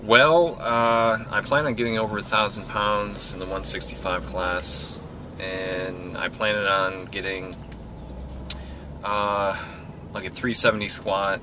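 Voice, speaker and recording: A young man giving casual, low-key interview answers as a powerlifter while driving. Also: fairly even intonation, takes it slowly. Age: young adult. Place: driving a car. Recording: loud car noise. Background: loud road and car noise.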